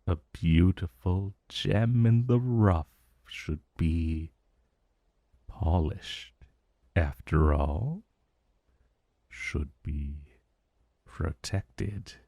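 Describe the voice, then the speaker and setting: Man voicing a slow, deep, possessive ancient dragon. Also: warm tone, no room sound, lo-fi sound, full character voice. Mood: possessive, hungry. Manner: slow, breathy, drawn-out, condescending endearments. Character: ancient male dragon familiar, possessive, menacing yet tender. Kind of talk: romantic fantasy ASMR roleplay.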